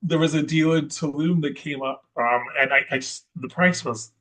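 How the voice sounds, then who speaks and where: A man from Scotland, a guest investor chatting in an interview, rambling and correcting himself with many pauses and restarts. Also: on the high side, fairly bright tone. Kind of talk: casual interview chat.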